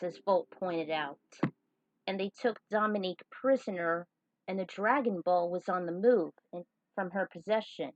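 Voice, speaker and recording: Woman storyteller on a dull lo-fi recording. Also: mid-range voice. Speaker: fan fiction reader and channel host. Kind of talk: casual narration of fan fiction read aloud.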